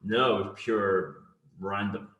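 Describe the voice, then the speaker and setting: Middle-aged Cork Irish man as interview guest, lowish voice, reflective and halting delivery, roomy echoing sound. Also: steady tone, a bit bright. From Cork, Ireland. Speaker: interview guest, company founder. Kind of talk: conversational interview answers.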